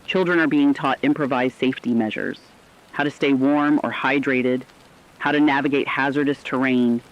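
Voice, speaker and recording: A man playing an earnest radio reporter, reading formal, measured news narration in a dramatic scripted style. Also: mid-range voice, lo-fi sound, close to an everyday voice. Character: earnest radio reporter analysing a world catastrophe.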